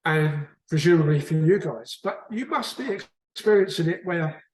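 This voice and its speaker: A man's voice: on the high side, moderate pace, darkish tone, reverberant, poor audio. A man from the UK with a British accent, chatting casually in a book club discussion, rambling and often restarting his sentences. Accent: British English.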